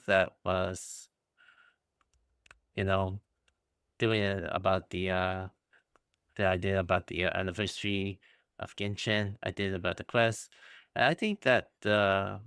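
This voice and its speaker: A man giving casual, halting, rambling livestream commentary as a game streamer. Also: deep voice, fairly even intonation, a bit bright, smooth, dry recording, studio quality.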